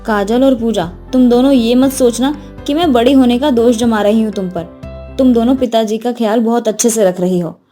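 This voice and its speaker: Woman doing hardworking village girl from a storybook, earnest n soft-toned, music behind. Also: fairly high pitch, moderate pace, close to an everyday voice. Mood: gently scolding. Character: kind, hardworking young eldest sister, a village girl. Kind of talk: voice acting in a Hindi fairy tale.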